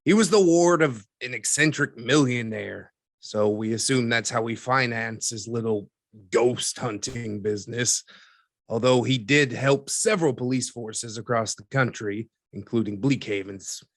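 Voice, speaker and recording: A man playing a dry, skeptical newspaperman who explains things in a measured, wry interview style for an audio drama. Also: mid-range voice, dry recording, close to an everyday voice. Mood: matter-of-fact. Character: dry, skeptical newspaperman recounting archived cases.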